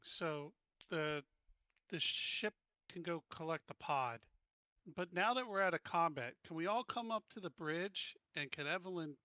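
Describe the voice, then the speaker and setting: A man with a fairly high, bright voice talks casually as a player in a tabletop game, thinking through plans. The recording is close and dry, a little dull. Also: smooth, no room sound. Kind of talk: casual game planning talk.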